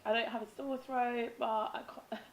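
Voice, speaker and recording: A woman with a raspy, hoarse voice, chatting casually in a vlog about spiritual habits, with frequent ums and restarts. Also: on the high side, flat delivery, moderate pace, a little hiss or hum. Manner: rambling, with many ums and self-corrections. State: hoarse, losing her voice. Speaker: vlogger.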